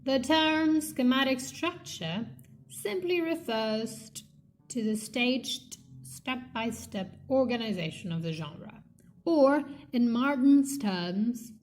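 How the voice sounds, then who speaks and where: A woman with a somewhat high voice reads an academic text aloud. Her delivery is slow and measured, halting slightly between phrases. Faint music plays behind her. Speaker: reader of a linguistics textbook. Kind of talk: read-aloud textbook narration.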